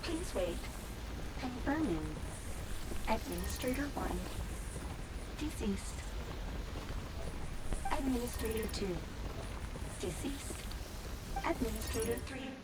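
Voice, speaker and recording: An impassive female game machine. It talks very slowly in clipped, procedural phrases, with loud ambient noise around it. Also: on the high side, animated. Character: impassive female machine system reading out verifications. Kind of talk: video game character acting.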